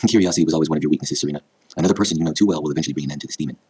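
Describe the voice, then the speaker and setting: A man voicing a wise, gentle queen mother who gives formal advice in an anime fan story. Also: rumbling low, rapid-fire, breathy edge. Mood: gentle, solemn.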